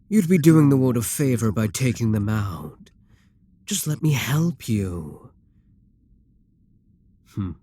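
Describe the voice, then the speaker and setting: An arrogant demon king, acted by a man, speaks at a very unhurried pace in a mid-range male voice. He is commanding and seductive, with a theatrical, manipulative delivery suited to a dramatic ASMR roleplay. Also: medium pitch, warm tone. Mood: coaxing, persuasive. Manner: deliberate, haughty, theatrical.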